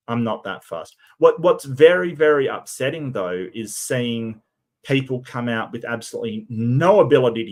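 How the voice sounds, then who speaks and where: A man hosting a solo stream, talking in a casual way. His voice is croaky and tired, and he often stammers and repeats his first words. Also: medium pitch. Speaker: streamer host.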